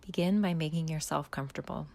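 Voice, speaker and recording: A woman calmly guiding a body scan meditation, speaking slowly and softly with long pauses and a half-whispered delivery. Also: sits low. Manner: slow, soft, calm instructions with long pauses, often half-whispered. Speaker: mindfulness guide.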